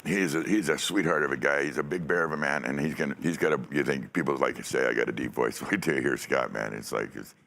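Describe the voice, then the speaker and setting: Very deep gravelly man host. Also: fast talker, few pauses, fairly bright tone. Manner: rambling, profane, laughs often. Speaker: podcast host. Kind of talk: casual chat. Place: dark studio set. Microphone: studio mic on a stand.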